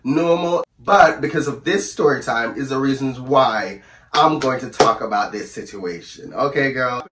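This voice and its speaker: A man with a hoarse voice from the flu, telling a storytime to camera in a chatty, sassy, rambling way and calling his viewers "girl". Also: mid-range voice, unhurried, a bit bright, reverberant, far from the mic, a little hiss or hum. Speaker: storyteller vlogger. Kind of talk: casual storytime chat to camera.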